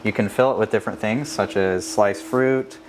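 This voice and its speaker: A man's voice: lowish voice, moderate pace. American man explaining over noticeable background noise. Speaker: bakery worker demonstrating products. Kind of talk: informal product walk-through. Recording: clear background ambience noise.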